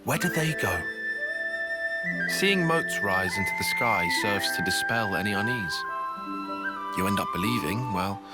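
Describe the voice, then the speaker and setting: Casting: pensive, reserved game character, lower register, quietly reflective. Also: male voice, expressive, unhurried, long pauses. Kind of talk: video game cutscene acting. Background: loud flute music.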